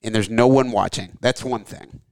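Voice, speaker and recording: Slightly hoarse man, casual podcast host. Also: on the low side, moderate pace, a little mellow, no room sound. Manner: loose, rambling, swears casually. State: slightly hoarse, lost some voice. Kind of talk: casual chat.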